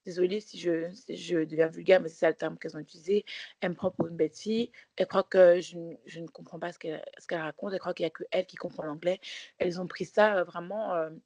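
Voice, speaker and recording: A woman's voice: fairly low pitch, steady tone, quick pace, darkish tone, dry recording. A woman co-hosting a podcast, telling a story in casual chat with many restarts and fillers. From Belgium. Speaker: podcast co-host.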